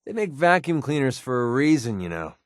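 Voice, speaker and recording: A young American mercenary from a wasteland video game, acted by a man, with a mid-pitched voice recorded very dry. He is sardonic and colloquial, a wisecracking companion who talks in a natural, conversational way. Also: very animated, brisk, no room sound, lightly acted. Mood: wry, sarcastic. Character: wry, sarcastic young male wasteland mercenary.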